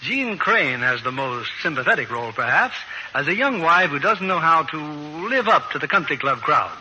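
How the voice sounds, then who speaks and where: A man's voice: a little high, sing-song, normal speed, pushed and bright. A man hosting a 1940s radio show, reading a scripted interview on a noisy old recording.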